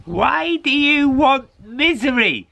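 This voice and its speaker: A man voicing a very old, solemn woman in a told story, questioning and instructing in a deliberate way. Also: extremely high pitch, very animated, vocal fry, pushed and bright, pitched above the performer's own voice, full character voice.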